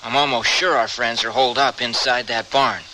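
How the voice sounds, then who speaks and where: Actor as a sharp-voiced, wary western stranger. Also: male voice, medium pitch, steady tone, normal speed, close to an everyday voice. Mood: guarded. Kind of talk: old-time radio western acting. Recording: slightly noisy radio drama recording.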